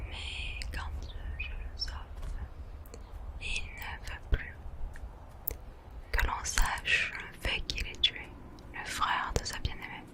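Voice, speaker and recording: Woman whispering real soft and slow, asmr gaming narration. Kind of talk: whispered ASMR game commentary.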